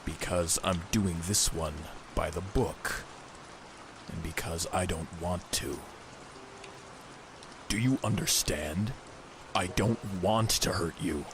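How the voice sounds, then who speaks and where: A man voicing a grim, weary masked vigilante in a comic dub, speaking in a measured, stern, earnest way. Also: deep voice, background noise. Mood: grave appeal. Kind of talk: dramatic comic dub voice acting.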